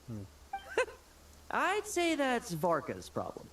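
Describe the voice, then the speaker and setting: A woman's voice: a little high, wildly swooping pitch, takes it slowly, fairly bright tone, slight background noise, heavily acted. An actress playing a sly, knowing woman in a video game, with a wry, teasing delivery. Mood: wry, amused.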